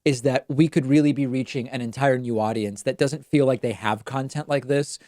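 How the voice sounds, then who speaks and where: Conversational talk show host, dry close sound. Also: male voice, medium pitch, fairly even intonation, normal speed, darkish tone, no echo. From Argentina. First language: Spanish. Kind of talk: political talk show monologue.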